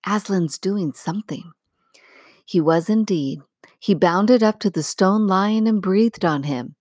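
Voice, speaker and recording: A woman narrating a storybook as an audiobook reader, with measured, expressive phrasing. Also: mid-range voice, dry recording, studio quality.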